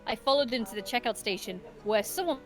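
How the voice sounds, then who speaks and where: A giggly, animated woman streamer reading visual novel narration aloud expressively, mixed with casual banter. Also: fairly high pitch, quick pace. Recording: game music behind.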